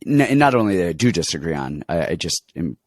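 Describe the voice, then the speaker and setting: Congested low-voiced man talking fights. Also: quick pace, no room sound, studio quality. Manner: rambling, animated storytelling with many restarts. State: congested, getting over an illness. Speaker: podcast co-host and fight coach. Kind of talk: casual sports betting chat.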